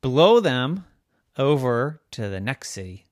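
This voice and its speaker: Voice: mid-range voice, sing-song, takes it slowly, no room sound, studio quality. A storyteller voicing a simple, helpful seagull in a children's story, squawky and exclaiming.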